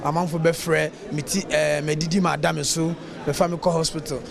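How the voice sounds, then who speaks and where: A man's voice: on the high side, little pitch movement, moderate pace, vocal fry, a crowd in the background. A man with a Ghanaian accent giving an unscripted interview answer as a service spokesperson.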